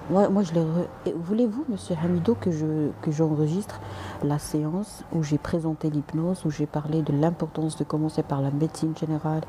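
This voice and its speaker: A woman's voice: on the low side, background noise. A woman doctor answering viewers' comments on a live stream, polite but direct, with frequent hesitations and restarts, slipping in English and Arabic words.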